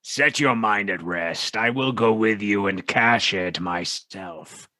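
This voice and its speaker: Slow, sinister low-voiced villain. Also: male voice, bright, forceful tone, no echo. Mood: coldly mocking. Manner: slow, menacing, deliberate. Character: sinister, wicked male alter ego, coldly mocking, gloating. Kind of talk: gothic radio play acting.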